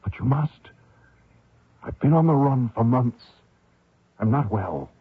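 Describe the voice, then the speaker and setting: An actor playing a haunted American fugitive, grave, earnest and defensive, in an old radio drama. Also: male voice, medium pitch, animated, a little slow, breathy edge, no echo, phone-quality sound. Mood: pleading. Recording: thin dry old radio recording.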